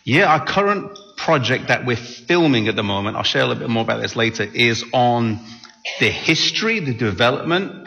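An English man whose accent carries a hint of American, talking as a guest about his ministry. His voice is gravelly yet bright, and he is soft-spoken and thoughtful, letting phrases trail off. The sound rings with the long reverb of a church hall. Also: medium pitch. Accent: English with some American mixed in. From England. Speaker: guest speaker, ministry filmmaker. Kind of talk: casual on-stage interview.

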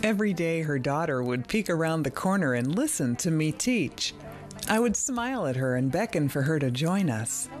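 A woman reads a teacher's testimony as a scripted voice-over, gentle and sincere. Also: low voice, expressive, moderate pace, soft background music. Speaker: literacy teacher.

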